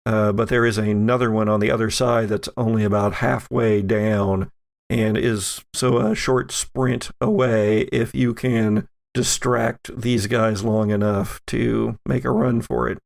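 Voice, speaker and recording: A man speaking as game master, narrating and explaining rules in a halting, measured way. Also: on the low side, level tone, vocal fry. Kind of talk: improvised tabletop game narration and rules talk.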